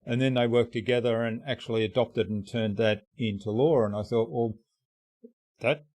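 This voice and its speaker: A man from Australia hosting an interview, speaking thoughtfully and hesitantly with many pauses and ums. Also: medium pitch, fairly even intonation, darkish tone, no room sound. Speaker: podcast host. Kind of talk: conversational interview.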